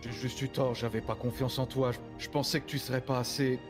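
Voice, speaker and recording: A man playing a middle-aged farmer father, protective and remorseful, in halting, plain-spoken French game roleplay. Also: mid-range voice, flat delivery, lightly acted. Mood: remorseful, tender. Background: music.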